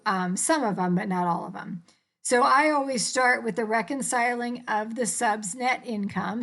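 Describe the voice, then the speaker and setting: A woman's voice: a little high, fairly bright tone. A woman instructor informally explaining worked accounting problems step by step.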